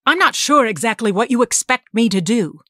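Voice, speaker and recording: An actress playing a forceful, sharp-tongued mutant schemer who poses as a government doctor in an audio drama. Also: female voice, a little high, expressive, normal speed, dry recording, studio quality. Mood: cool, irritated. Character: commanding, defiant shapeshifting mutant schemer posing as government doctor.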